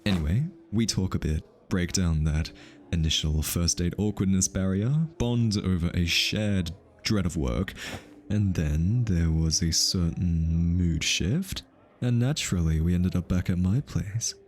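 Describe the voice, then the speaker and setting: A man's voice: low-pitched, unhurried, a little mellow. A male voice actor playing an easily flustered, teasing hitman who is smitten, in an ASMR roleplay. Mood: coy. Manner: teasing, stammers and backtracks when flustered.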